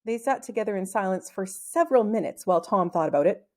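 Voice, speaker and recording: A woman reading a children's book aloud as an expressive storyteller who acts out the dialogue. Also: mid-range voice, fast. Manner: animated, acting out the dialogue.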